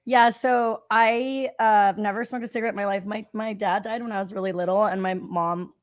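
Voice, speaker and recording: A woman with a raspy voice, chatting animatedly as a guest on a live stream and laughing often. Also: mid-range voice, normal speed, no echo. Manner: animated, laughs often, quotes others mockingly. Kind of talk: casual chat on a stream.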